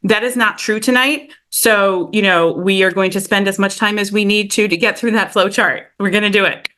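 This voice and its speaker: A woman's voice: medium pitch, steady tone, moderate pace, sharp tone. A woman chairing a public meeting over a video call, upbeat and effusive, with frequent fillers. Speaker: committee chair.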